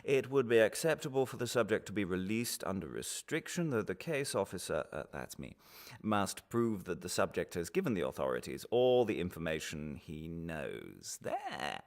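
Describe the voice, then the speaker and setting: A male actor as a condescending interrogator in a radio play, with a fairly low voice. He is measured and officious, feigning reasonableness while staying quietly menacing. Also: big pitch swings. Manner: officious, patronising, measured with pointed pauses. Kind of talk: radio drama monologue.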